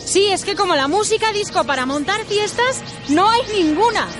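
A woman acting an excitable, gossipy party worker in a comic radio drama. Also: very high-pitched, moderate pace. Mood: gossipy excitement. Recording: party music behind the voice.

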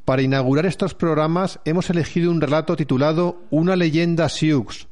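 A man's voice: fairly high pitch, fairly even intonation, fairly quick, darkish tone. A man introducing a community radio program in a formal, announcing way.

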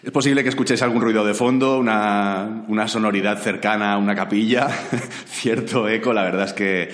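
Male podcast interviewer, Spain accent, deep register, mildly hoarse with a cold, recorded in a reverberant hall. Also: fairly even intonation, brisk. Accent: Spanish, from Spain. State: slightly hoarse with a head cold. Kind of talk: conversational interview. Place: large borrowed event room, indoors. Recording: echoey, chapel-like reverberant hall.

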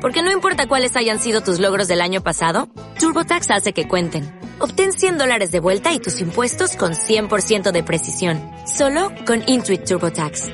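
A woman's voice: medium pitch, big pitch swings, moderate pace, over music. A woman doing a scripted advertising voice-over. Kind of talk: scripted commercial read.

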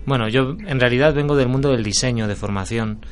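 A man from Spain with a Spanish accent, a radio guest talking in a relaxed, hesitant way. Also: mid-range voice, brisk, a little hiss or hum. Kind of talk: casual interview talk.

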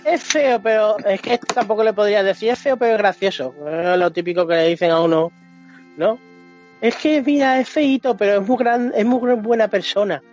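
Slangy, higher-voiced man from a Spanish podcast. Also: moderate pace, a little mellow. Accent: Spain. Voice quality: slangy, sweary speech. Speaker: podcast panelist. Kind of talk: casual chat about video games. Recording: dull lo-fi audio, music behind.

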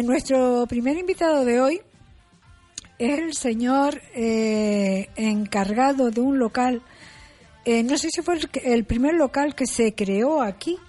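A woman radio host, her voice still rough and worn from being ill. She speaks in a mellow, dark tone, a little higher than usual, and hesitates often with fillers. Faint music plays behind her. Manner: halting, many fillers, drawn-out phrases. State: voice still affected by illness. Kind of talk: casual radio interview.

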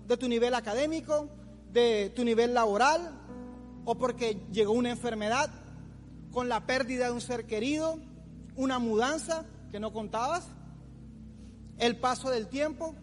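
A man's voice: extremely high pitch, moderate pace, long pauses. A man in his forties preaching an informal youth sermon as a pastor, in a colloquial, teasing manner. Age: 40s. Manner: colloquial, teasing, acts out imagined complainers. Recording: long hall reverb, music underneath.

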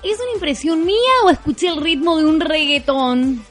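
Shrill haughty society lady. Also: animated, a little fast, soft background music. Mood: scandalized. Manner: snobbish, theatrical, exclaiming. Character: haughty, scandalized married high-society lady. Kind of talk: comic radio-play acting.

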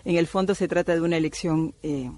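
A woman's voice: on the low side, fairly even intonation, brisk, a little mellow. A middle-aged woman from Cuba, an anthropologist, speaking thoughtfully with many hesitations in a radio interview. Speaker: anthropologist guest.

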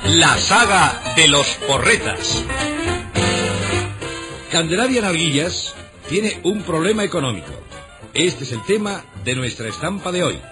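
A man's voice: on the high side, very animated, a little slow, long pauses. A man announcing the opening of a radio comedy in a scripted style. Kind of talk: scripted radio show introduction. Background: loud music.

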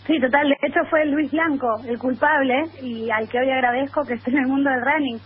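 A woman's voice: high-pitched, steady tone, a little fast, breathy edge. A woman in her thirties from Argentina, her accent mixing Argentine and Spain Spanish, telling her story at length as a guest on a radio show. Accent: Argentine with Spanish (Spain) mix. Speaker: guest columnist. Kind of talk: phone interview. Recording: muffled lo-fi line with faint noise.

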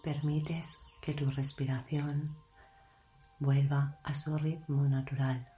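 A woman leading a guided meditation in a hushed, measured delivery, leaving long pauses between short phrases. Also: sits low, level tone, faint music behind. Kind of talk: guided meditation, slow soothing narration.

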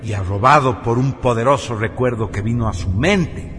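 A man narrating a scripted radio serial in a solemn, theatrical and deliberate way. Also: mid-range voice, noisy background. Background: music.

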